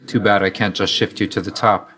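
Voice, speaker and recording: An actor playing a reserved, dutiful god of death in a video game, speaking in a measured, formal, understated way. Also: male voice, on the low side, little pitch movement, fairly bright tone, close to an everyday voice. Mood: reserved, quietly fond. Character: male god of death, reserved, dutiful, weary, quietly fond. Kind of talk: video game character voice lines.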